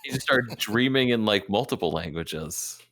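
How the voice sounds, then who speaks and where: A man's voice: fairly low pitch, lively intonation, normal speed, fairly bright tone, no room sound. A man hosting a casual podcast interview, joking and asking questions with lots of fillers. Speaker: podcast host.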